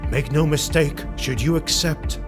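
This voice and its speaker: An actor playing a grim, vengeful Imperial officer in a fantasy audio drama, speaking solemnly and formally with deliberate emphasis. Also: male voice, fairly high pitch, music in the background, natural voice. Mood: resolute, defiant. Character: grim, vengeful male Imperial army officer recounting war.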